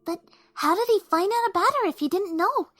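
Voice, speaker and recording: Woman as a very high, gentle young mare. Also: a little fast, dry recording. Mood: eager, helpful. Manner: soft-hearted, helpful, coaxing. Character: gentle, kind young mare who loves animals. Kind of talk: cartoon-like audio drama acting.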